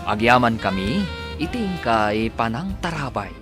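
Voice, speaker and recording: A man with an Ilocano accent announces a radio drama's title and credits. He speaks in a formal, measured way at a mid pitch. Clear music plays under his voice. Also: sing-song.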